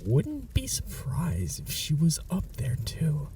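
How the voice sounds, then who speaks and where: A man performs a smug male vampire in an ASMR roleplay, soft-spoken and mocking as he taunts a hunter. The voice is dark, gravelly and slow, with lively swoops in pitch. It is recorded a little back from the mic over a faint hiss. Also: distant mic, exaggerated voice. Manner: soft half-whispered, mocking and calm. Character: smug teasing male vampire, dominant and possessive.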